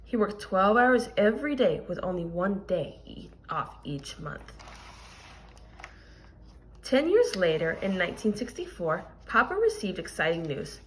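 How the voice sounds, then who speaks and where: A woman reads a children's story aloud in the manner of a teacher. Her pitch moves in an animated way across a middle register. She tells it deliberately, leaning on important words. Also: slight background noise. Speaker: teacher reading a picture book aloud. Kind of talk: expressive children's read-aloud with teaching asides.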